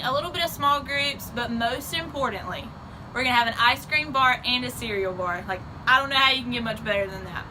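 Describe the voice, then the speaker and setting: A woman's voice: high-pitched, noisy background. A woman giving upbeat, informal announcements to camera as a student ministry resident. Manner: peppy, informal.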